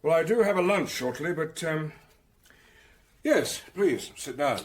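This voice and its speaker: Evasive senior academic, radio drama: raised, very animated pitch, husky tone, hesitant and agitated. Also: male voice, measured pace, a little hiss or hum. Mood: flustered. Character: flustered, evasive male senior academic caught off guard. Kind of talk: full-cast radio drama acting.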